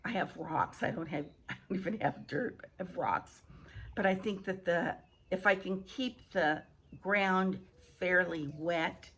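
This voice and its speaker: A woman vlogger with a hoarse early-morning voice, chatting casually and haltingly to viewers as she repeats and restarts phrases. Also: on the low side, a bit bright. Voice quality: husky, hoarse. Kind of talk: casual rambling chat to viewers. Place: outdoor home patio.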